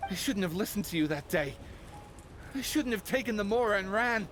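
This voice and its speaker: A man's voice: sits high, expressive, a little fast, a bit bright, a little hiss or hum. An actor playing a grieving man in a game cutscene, pleading with anguish for his lost love. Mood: remorseful.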